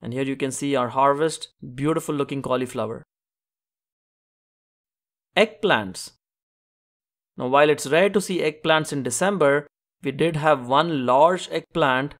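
A man's voice: fairly high pitch, measured pace, pauses often. A man hosting a gardening show, narrating an instructional tour in an enthusiastic, explanatory way. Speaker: host of a home gardening show.

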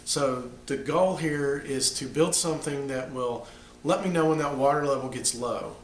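A middle-aged man, a little hoarse from the flu, explaining a DIY build in his home workshop, plain and halting with many ums. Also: mid-range voice, reverberant. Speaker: DIY maker. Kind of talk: casual how-to explanation.

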